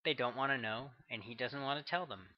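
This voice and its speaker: A man's voice: medium pitch, fairly quick, lightly acted. A man voicing a cynical female federal agent who speaks in a dry, knowing, matter-of-fact way, on a slightly muffled audiobook recording.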